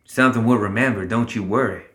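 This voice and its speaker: A man's voice: on the low side, fast talker, bright, forceful tone, roomy sound. A man voicing a stern, sardonic female gremlin leader with a curt, begrudging manner in a fantasy audiobook.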